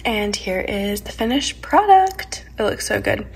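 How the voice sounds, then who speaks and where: Raspy-voiced young woman chatting while baking. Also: medium pitch, very animated, measured pace, slight background noise. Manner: chatty, loose, with fillers. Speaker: lifestyle vlogger. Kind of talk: casual baking walkthrough. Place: home kitchen.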